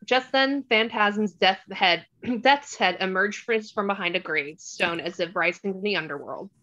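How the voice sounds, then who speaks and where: Woman narrator, scripted screen directions, middle pitch, bright forward tone, slightly dull lo-fi microphone. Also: normal speed. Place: remote online table read.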